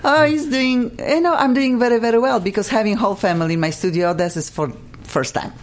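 A woman's voice: on the high side, a little fast. A woman with a Polish accent hosting an interview in a studio, gushing with praise for her guests. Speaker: radio show host. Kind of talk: interview chat.

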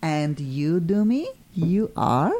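A Polish-accented woman hosts a live radio talk show, her voice dark and mellow in tone. She gets excitable, with big sudden jumps in pitch, and her English phrasing is a little broken. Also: slow pace, slight background noise. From Poland.

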